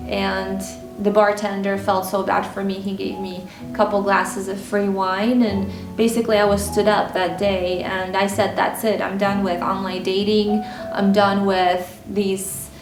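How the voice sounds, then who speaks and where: A woman's voice: medium pitch, little pitch movement, measured pace, far from the mic. A woman from Ukraine whose first language is Russian, telling personal stories to camera in a candid, conversational way with many fillers. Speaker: style and mindset vlogger. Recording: roomy echo with music bed.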